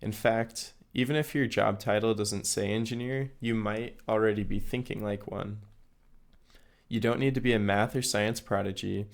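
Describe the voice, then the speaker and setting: Hoarse man hosting a talk podcast. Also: lowish voice, steady tone, moderate pace. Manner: earnest, explanatory, with small restarts. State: hoarse, recovering from illness. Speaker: podcast host. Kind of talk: solo educational podcast talk.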